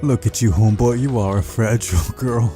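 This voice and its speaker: A man's voice: on the low side, fairly quick. A man hosting a visual novel playthrough, reading the story aloud with casual, playful asides over game music. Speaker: video game playthrough host.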